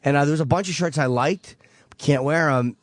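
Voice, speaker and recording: A man's voice: fairly high pitch, fairly quick, no room sound. A man co-hosting morning radio, tired and self-deprecating, stumbling over repeated words. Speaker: comedian, radio co-host. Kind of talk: casual radio banter. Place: radio studio.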